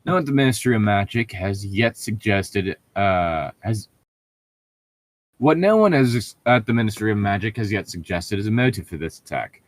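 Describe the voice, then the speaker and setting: A man's voice: on the low side, sing-song, moderate pace, dry recording. A man reading fan fiction aloud in a casual, mocking way, swearing and stumbling over words as he makes sarcastic asides. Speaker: co-reader on a fan fiction riffing show.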